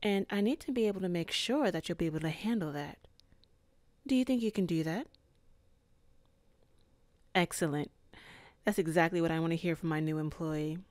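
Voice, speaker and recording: Woman doing a composed boss lady, low voice, soft teasing, dry recording. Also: dry recording, natural voice. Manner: calm, measured, softly flirtatious. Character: cool, composed female office boss. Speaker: ASMR roleplay performer. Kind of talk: ASMR roleplay.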